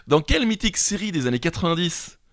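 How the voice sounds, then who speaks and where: Playful, animated French man. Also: a little high, fast, no room sound. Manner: playful and teasing, laughs easily, lots of "ouais" and "hein". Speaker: quiz co-host. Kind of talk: casual quiz podcast banter.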